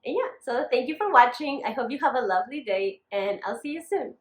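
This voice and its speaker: A woman's voice: fairly high pitch, expressive, fairly quick. A young woman with a northern Mexican accent, chatting candidly in a casual makeup vlog with apologetic asides. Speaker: beauty vlogger. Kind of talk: casual makeup update talking to camera. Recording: echoey room.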